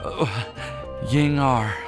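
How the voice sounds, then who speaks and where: A man's voice: medium pitch, extremely slow, over music. A man playing a shy, flustered, lovestruck young man in a fantasy game, stammering and hesitating as he talks. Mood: flustered, happy. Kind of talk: scripted game dialogue.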